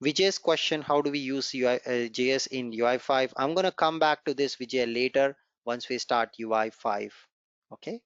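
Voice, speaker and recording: A man whose first language is Gujarati leads an online programming class. He encourages the students and goes over each step more than once. His voice sounds dull and dry, like a low-fi recording from a computer mic. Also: moderate pace, a bit bright, clean tone, dry recording. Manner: encouraging, repeats key points, walks through code step by step. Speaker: programming instructor. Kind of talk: live online coding class.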